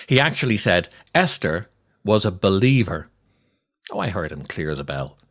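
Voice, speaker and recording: A man, reading a scripted comic monologue in a wry, theatrical way with deliberate pauses. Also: sits low, sing-song, fairly bright tone. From Ireland. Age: 60s. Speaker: podcast host and storyteller.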